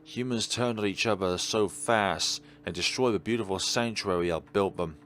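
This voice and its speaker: A man's voice: fairly low pitch, moderate pace, fairly bright tone, soft background music, natural voice. A man reading visual novel dialogue as a melancholy, world-weary God, in a wistful, dramatic way. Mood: nostalgic, regretful.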